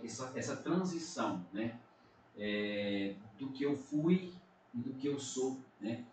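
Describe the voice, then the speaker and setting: A middle-aged man, a pastor from Minas Gerais with a Brazilian accent, giving an earnest testimony in a casual podcast chat. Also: mid-range voice. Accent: Brazilian Portuguese, Minas Gerais. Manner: earnest, frequent tag questions. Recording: echoey room, distant mic, faint noise.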